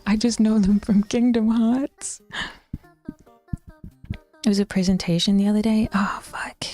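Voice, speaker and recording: A woman's voice: mid-range voice, fairly even intonation, a little slow, warm tone, faint music behind. A young woman with an Auckland New Zealand accent chatting casually with her stream chat, rambling, swearing and sometimes dropping into whispers.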